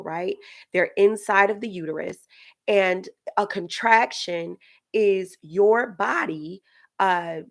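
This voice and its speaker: A woman with a low, gravelly voice, raspy as she gets over a cold. She talks through a childbirth lesson in a chatty, reassuring way, full of little fillers. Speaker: childbirth educator. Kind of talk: casual instructional podcast talk.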